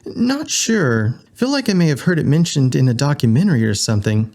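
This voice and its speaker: Man voicing a breezy, higher-pitched furry lady scientist. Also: sing-song, brisk, darkish tone. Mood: breezy, evasive.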